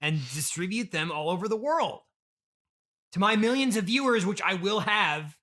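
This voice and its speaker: A man plays a spoiled, rebellious son in a comedy interview. The voice is high and bright, with a sarcastic, scoffing edge. He teases and makes demands of his rich father as if owed everything. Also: expressive, normal speed. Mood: demanding, excited. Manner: dismissive and demanding, scoffing and teasing.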